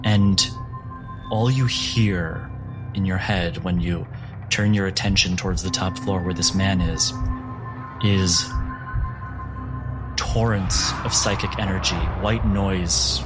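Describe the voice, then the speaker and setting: Male game master, unhurried deliberate narration, low voice, over a clear music bed. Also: steady tone. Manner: slow, deliberate storytelling delivery.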